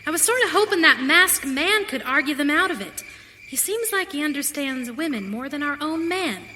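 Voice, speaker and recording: A woman's voice: high-pitched, animated, normal speed, bright, forceful tone, a little hiss or hum. A woman playing a hopeful, wistful pioneer in a western radio play, speaking in folksy American frontier dialect. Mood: wistful, admiring. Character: Jean, hopeful young pioneer woman, wistful and gentle-natured. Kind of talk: live western radio theater acting.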